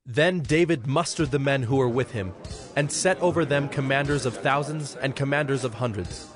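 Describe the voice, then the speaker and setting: A man's voice: mid-range voice, normal speed. Solemn male narrator over music. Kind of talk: scripted scripture narration. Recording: music bed under narration.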